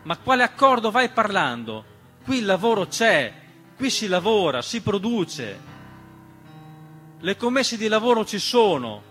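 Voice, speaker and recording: A man performing a dramatic political theatre monologue in an emphatic, rhetorical manner with deliberate repetition. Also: sits high, very animated, bright, forceful tone. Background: piano accompaniment.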